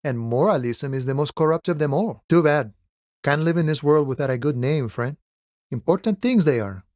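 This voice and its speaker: An actor playing an easygoing, philosophical dock worker with cowboy airs in a video game, musing in an unhurried, folksy way. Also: male voice, on the high side, lively intonation, a little mellow, dry recording, clean studio sound, natural voice. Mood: wry, philosophical. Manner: unhurried, folksy musing, calls people friend and boya. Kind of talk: video game character dialogue.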